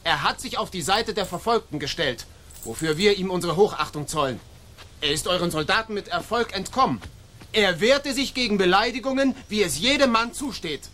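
An actor playing a bold, proud young gentleman rebel, declamatory and insistent in a German radio drama. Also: sits high, lively intonation, normal speed, a little hiss or hum. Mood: defiant.

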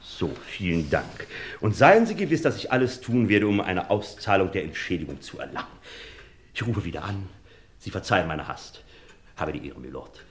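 An actor playing a fussy, obsequious insurance agent in a German radio crime play. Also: male voice, deep voice, very animated, normal speed, slight background noise. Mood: fussy, deferential. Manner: fussy, deferential.

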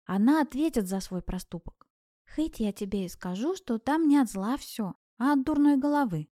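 A woman's voice: fairly high pitch, animated, normal speed, mellow, no room sound, clean studio sound. A woman voicing a kindly old village granny in an audiobook, tender and folksy as she chides and reassures. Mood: firm, consoling. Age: older. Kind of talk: audiobook character voice.

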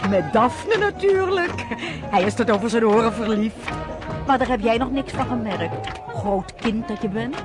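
High-voiced protective mother. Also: expressive, a little slow, over music. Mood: teasing. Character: fretful, protective mother, teasing farmer's wife. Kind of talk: Dutch radio drama acting.

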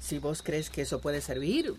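Woman playing mild homely village gardener, lower voice, faint garden noise. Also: big pitch swings, normal speed, a little mellow. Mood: mild, concerned. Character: gentle, hesitant village woman who gardens. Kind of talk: radio drama acting.

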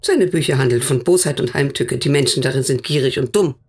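An actress playing a stern, protective older sister in a German radio drama, speaking bluntly and formally, as if interrogating, with a husky, gravelly voice. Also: female voice, rumbling low, brisk, a little mellow, exaggerated voice. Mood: stern, insistent.

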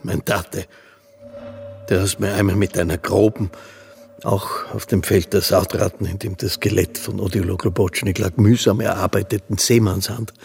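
A man narrating a dramatic radio play in an intense, bitter tone with a raspy, gravelly voice. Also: deep voice, darkish tone. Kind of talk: dramatic literary reading.

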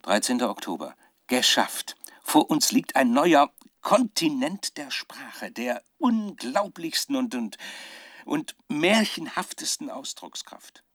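A man's voice: mid-range voice, very animated, a bit bright, dry recording, studio quality, full character voice. An actor playing a male scientist who keeps a troubled, fervent spoken research diary in a radio drama, often searching for words. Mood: elated, awed. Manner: halting, searching for words, dramatic shifts from musing to fervour. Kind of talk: radio drama monologue as dictated audio diary.

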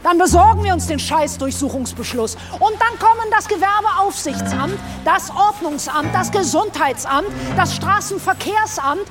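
An actress playing a brash, sardonic police detective, blunt and colloquial in a German audio drama. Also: female voice, very high-pitched, expressive, moderate pace, few pauses. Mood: angry, threatening. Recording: music behind the voice.

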